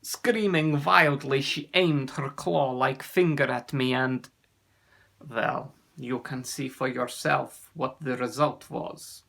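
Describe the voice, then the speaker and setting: A man reading a children's story, voicing a proud, grave father sea serpent in a solemn, measured manner. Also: medium pitch, steady tone, vocal fry, bright, forceful tone, exaggerated voice. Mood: recounting.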